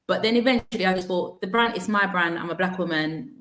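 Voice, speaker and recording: A woman business owner answering interview questions frankly, in long steady runs with restarts. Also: on the low side, quick pace. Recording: roomy echo.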